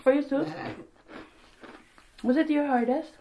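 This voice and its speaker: Giggly slow-talking young woman. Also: sits high, steady tone, dark tone, a little hiss or hum. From Sweden. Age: 20s. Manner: giggly, chatty, laughs often. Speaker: mukbang host. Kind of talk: casual eating chat.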